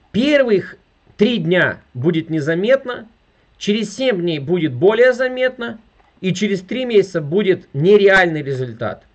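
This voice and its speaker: A man's voice: high-pitched, muffled recording. A man in his thirties giving an emphatic sales presentation over a video call, constantly appealing to his viewers. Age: 30s. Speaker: network marketing presenter. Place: indoor room with furniture.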